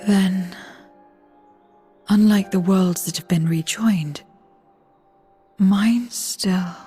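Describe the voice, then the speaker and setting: A woman's voice: mid-range voice, very slow, mellow, slightly rough, exaggerated voice. An actress playing a guarded, world-weary woman from a lost world in a video game cutscene, terse and detached. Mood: guarded, puzzled. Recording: music behind.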